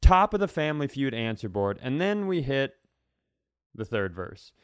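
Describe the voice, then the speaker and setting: A man's voice: mid-range voice, very animated, normal speed, clear, resonant tone, no room sound, studio quality. A man co-hosting a comedy podcast in casual banter, emphatic and sweary with mock outrage.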